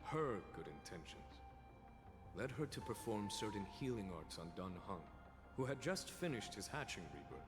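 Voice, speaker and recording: A low-pitched, courteous general from a video game, choosing formal words with shrewd, deliberate care. Long reverb surrounds the voice, and music plays clearly behind it. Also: male voice, big pitch swings. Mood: grave, explaining. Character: composed, eloquent male general, shrewd and courteous. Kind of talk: scripted video game acting.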